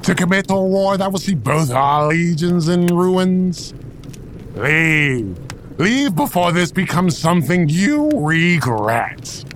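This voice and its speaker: A man acts out a bitter, wrathful warlord for an audio drama. His fairly high male voice lurches across a wide range at a slow, menacing pace, heavy with defiance and contempt. Faint ambient noise sits behind him. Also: big pitch swings, full character voice. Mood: defiant warning. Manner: menacing, halting, scornful.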